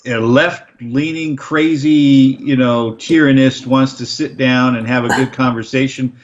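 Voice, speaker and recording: A middle-aged man talking politics in a loose, meandering way, often restarting his sentences and chuckling as he goes. His voice sits at an ordinary middle pitch. The recording sounds a little dull and lo-fi. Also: fairly even intonation, unhurried. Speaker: podcast co-host. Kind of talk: casual political chat.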